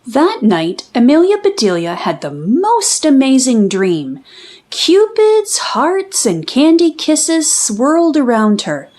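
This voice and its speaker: A woman reading a children's storybook aloud as an expressive narrator. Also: high-pitched, takes it slowly.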